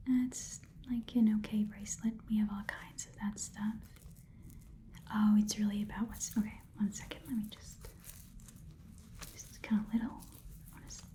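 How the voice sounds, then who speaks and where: A woman's voice: mid-range voice, flat delivery, a little slow, long pauses, mellow, natural voice. A woman playing a small, blunt goblin pawnshop keeper in a casual, muttering ASMR roleplay whisper. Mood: curious, distracted. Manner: rambling, casual, muttering, slangy. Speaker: ASMR performer playing a shopkeeper.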